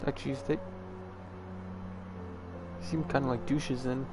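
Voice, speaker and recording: A man's voice: medium pitch, flat delivery, measured pace, music in the background. A man giving casual play-along commentary as he streams a story game.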